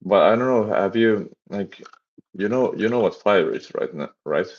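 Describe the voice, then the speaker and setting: A young man from Finland with a Finnish accent, chatting on a live stream and talking haltingly with many restarts and fillers. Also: on the low side, expressive, dull audio. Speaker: livestreaming startup developer. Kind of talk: casual live stream chat.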